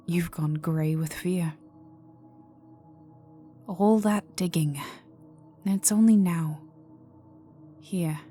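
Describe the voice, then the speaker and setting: Woman acting a slow, whispery, haughty vampire noblewoman. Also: fairly low pitch. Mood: cold, mocking. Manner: slow, condescending, teasing, often dropping to a whisper. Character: cold, haughty aristocratic female vampire, menacing and amused. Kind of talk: dark ASMR roleplay acting. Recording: voice over dark ambient music. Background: dark atmospheric music with resonant tones.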